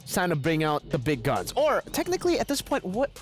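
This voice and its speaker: A man's voice: high-pitched, wildly swooping pitch, fairly quick, soft background music. A man casting and analysing an esports match, joking back and forth with his co-caster.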